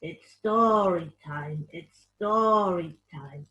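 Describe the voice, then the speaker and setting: Slow, expressive woman reading to children. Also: fairly low pitch, muffled recording. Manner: expressive, addressing children with questions. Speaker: children's storyteller and puppeteer. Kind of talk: picture-book story narration for children.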